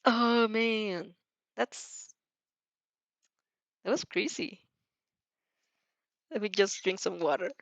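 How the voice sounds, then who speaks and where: A woman's voice: mid-range voice, drawn-out words, creaky, long pauses, no room sound. A woman reading fan fiction aloud expressively, giggly and casual, stumbling over words and rereading. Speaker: fan fiction reader and reactor.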